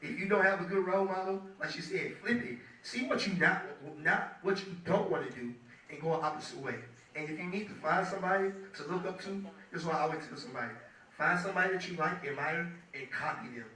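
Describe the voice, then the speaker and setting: Hoarse young man talking to a crowd. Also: high-pitched, fast talker, bright, forceful tone. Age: 20s. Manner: loose and chatty, keeps asking the crowd "you feel me". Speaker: guest speaker, music producer. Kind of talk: casual motivational talk to an audience. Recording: echoey hall, mic at a distance.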